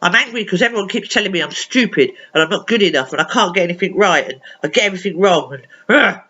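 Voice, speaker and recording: Woman as storybook Anger creature: male, big, wounded, childlike, spilling out grievances in lively swoops. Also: fairly low pitch, quick pace, breathy edge, dull audio, heavily acted. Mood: exasperated. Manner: childlike venting, run-on complaints. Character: big hurt angry male superpower, a child's emotion.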